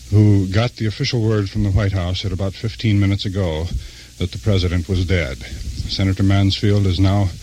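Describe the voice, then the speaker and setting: Male radio correspondent, lowish voice, halting unscripted live report, light hiss on an old feed. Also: darkish tone, poor audio. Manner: halting, pausing between short phrases.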